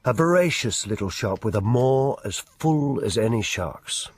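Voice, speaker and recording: An actor playing a sardonic storybook narrator in a radio drama, with a husky voice and dramatic delivery. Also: male voice, mid-range voice, expressive, a little slow. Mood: ominous, wry.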